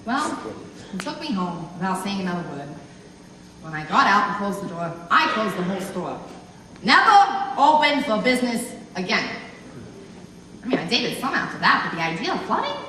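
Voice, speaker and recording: A woman playing an embarrassed, reluctant old lady in a theatrical monologue, chatty and halting as she reminisces about her youth. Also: mid-range voice, very animated, natural voice. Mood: embarrassed, confessional. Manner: flustered, chatty, halting, exclamatory. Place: live stage in a large hall. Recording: echoey hall from far off, faint noise.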